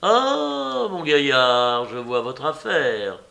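A man's voice: mid-range voice, animated, measured pace, fairly bright tone. A man voicing a cheeky, mischievous joker in an audiobook, with flowery speech and a teasing, mock-pompous manner. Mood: sly, teasing. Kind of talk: audiobook character voice.

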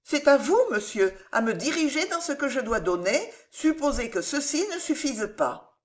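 Storybook heroine: young, kind-hearted and firm, polite diction, animated intonation woman narrator acting it out. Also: high-pitched, moderate pace, voice pushed up. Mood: earnest pleading. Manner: earnest, polite, eloquent. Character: sincere, generous young heiress, earnest and firm. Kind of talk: audiobook character voice.

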